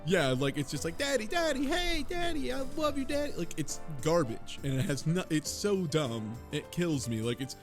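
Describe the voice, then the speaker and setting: A man's voice: on the high side, big pitch swings, normal speed, hardly any pauses. Sarcastic man chatting casually over music. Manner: sarcastic, mildly profane. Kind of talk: casual group chat about a video game. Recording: music behind the voice.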